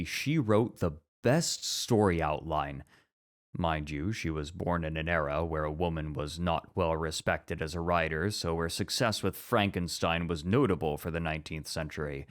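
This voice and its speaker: A man playing a measured, traditionalist literature teacher in a scripted ASMR roleplay, speaking formally and with careful reasoning. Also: low voice.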